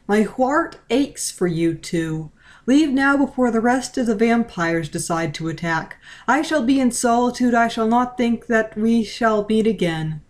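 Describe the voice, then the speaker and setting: A lonely, centuries-old vampire prince holds forth in grand, pompous sentences, as a woman reading a story voices him. The voice sits fairly high and stays theatrical and self-important throughout this storybook reading. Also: animated, a little slow. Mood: sorrowful. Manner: pompous, theatrical villain speech.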